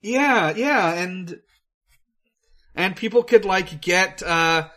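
A man's voice: high voice, expressive, slow, a bit bright. A man co-hosting a podcast, talking casually about film in a hesitant way, thinking aloud as he goes. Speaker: podcast co-host.

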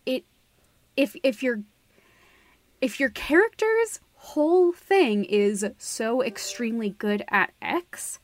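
A woman's voice: fairly high pitch, animated, slow pace. A woman co-hosting a podcast, giving her opinions casually in a frank, emphatic way. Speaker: podcast co-host.

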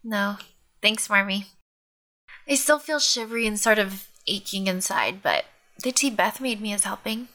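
A woman's voice: mid-range voice, expressive, moderate pace, sharp tone. A woman playing a sweet, sincere, slightly dramatic younger teenage sister in a naturalistic audio drama. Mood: shaky, recovering.

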